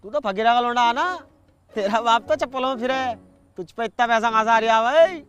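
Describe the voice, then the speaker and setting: A man's voice: extremely high pitch, fairly quick, a bit bright, soft background music. A man playing a cheeky, sceptical villager in comedy sketch dialogue. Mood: sceptical, curious.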